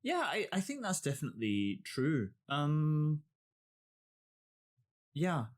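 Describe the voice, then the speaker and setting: A woman's voice: sits low, big pitch swings, takes it slowly. A woman hosting a podcast interview, attentive and giving short backchannels. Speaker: podcast host.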